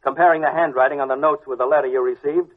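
A man's voice: medium pitch, steady tone, lightly acted. Man acting a suspicious radio cop, muffled recording. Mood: probing. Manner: deliberate, sardonic interrogator. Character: suspicious, dryly commanding male police officer. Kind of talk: 1940s radio detective acting.

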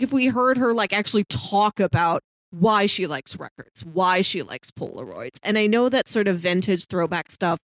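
A woman's voice: medium pitch, dry recording. A woman podcast co-host in a casual film discussion, chatty and musing aloud. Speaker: podcast co-host reviewing films.

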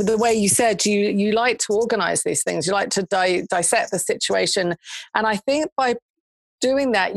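A woman co-hosting a casual chat over a video call, her voice croaky from smoke, chatty and quick to laugh. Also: medium pitch, no echo. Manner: chatty, laughs easily, thinks aloud. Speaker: podcast co-host. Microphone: remote video call.

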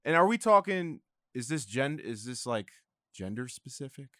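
A man co-hosting a podcast, chatting casually in an animated way and tripping over his words. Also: medium pitch, normal speed, no echo, clean studio sound. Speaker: podcast co-host. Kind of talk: casual chat.

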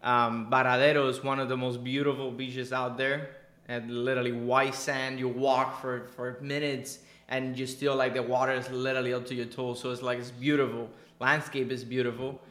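A man's voice: mid-range voice, flat delivery, moderate pace, fairly bright tone, echoey room. A young man from Cuba, a podcast guest, talking casually and animatedly, repeating words for emphasis. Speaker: podcast guest, entrepreneur and coach. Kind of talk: casual interview conversation.

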